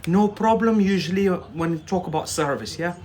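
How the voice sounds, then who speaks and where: A man's voice: sits high, normal speed, darkish tone, a little hiss or hum. Chatty man teaching English live. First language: not English. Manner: chatty, laughs often, keeps adding "yeah?". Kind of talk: casual live-stream chat answering viewer comments.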